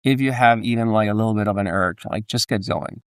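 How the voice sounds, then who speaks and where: A man with a Danish accent speaking casually as an interview guest, in a somewhat halting, hedging way. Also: fairly low pitch, clear, resonant tone, no echo, clean studio sound. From Denmark. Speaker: podcast guest, entrepreneur and author.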